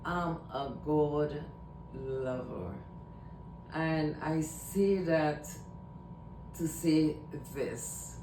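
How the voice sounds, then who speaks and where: Trinidadian woman, unhurried casual vlog talk, echoey room, mic set back, faint rumble. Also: recorded from a distance. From Trinidad and Tobago. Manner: rambling, repeats herself, slow and emphatic. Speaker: vlogger.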